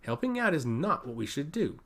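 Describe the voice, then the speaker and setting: A man's voice: on the high side, big pitch swings, normal speed, fairly bright tone, voice pushed up, heavily acted. A man voicing a haughty, impatient archmage in a fantasy story, curt and dismissive.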